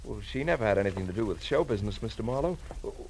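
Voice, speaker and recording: Timid fussy bridegroom, hesitant, stammering, quick speech, old radio drama, faint noise. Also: male voice, lowish voice, expressive, darkish tone. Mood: anxious, hesitant. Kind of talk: 1940s radio drama acting.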